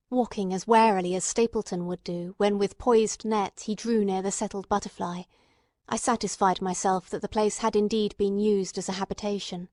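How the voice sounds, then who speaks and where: A woman reading scripted literary narration as an audiobook narrator, in a measured, carefully phrased storytelling manner. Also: mid-range voice, darkish tone, no room sound, studio quality.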